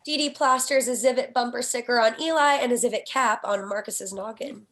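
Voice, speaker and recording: Higher-pitched woman reading stage directions. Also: fairly quick, fairly bright tone. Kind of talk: scripted narration of screenplay directions.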